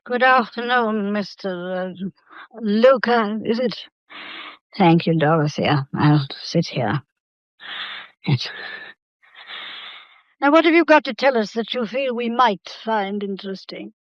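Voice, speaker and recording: A woman's voice: medium pitch, animated, slow, long pauses, no echo. An actress playing an imperious, composed old grandmother in a mystery radio drama, stately and commanding. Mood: gracious, cool. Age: older. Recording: dull, muffled radio drama recording.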